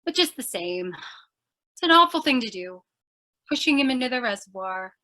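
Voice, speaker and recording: A woman plays a plucky radio-drama reporter with a very high, bright voice. She chatters theatrically and sounds naive and scatterbrained, her pitch leaping all over as she talks her way through danger. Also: sing-song, normal speed. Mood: mock remorseful. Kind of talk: old-time radio screwball drama acting.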